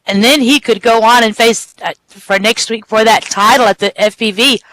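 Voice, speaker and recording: A commentator doing lively play-by-play for a wrestling show who counts pins aloud and signs off the broadcast. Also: medium pitch, moderate pace, no room sound, rough recording.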